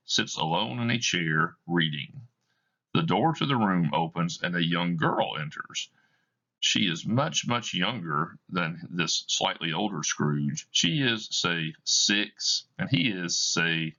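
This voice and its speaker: Man, teacher reading stage directions, low voice, lively, bright tone, dull lo-fi sound. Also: creaky. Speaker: teacher reading a play aloud.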